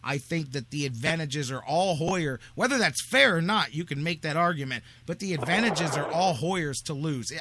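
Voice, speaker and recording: A man's voice: fairly high pitch, pushed and bright. A man hosting sports talk radio, arguing his points emphatically and repeating phrases for stress.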